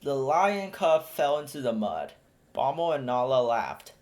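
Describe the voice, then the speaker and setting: A man narrating a children's picture book aloud in a steady, clear storytelling manner. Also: mid-range voice, a little slow. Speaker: storybook reader.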